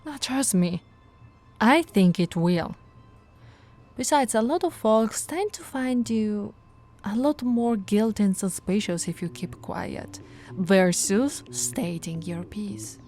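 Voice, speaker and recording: A woman voicing a flirty, no-nonsense female police officer in an ASMR roleplay, switching between firm orders and soft, teasing coaxing. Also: medium pitch, takes it slowly, soft background music, close to an everyday voice. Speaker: voice actress.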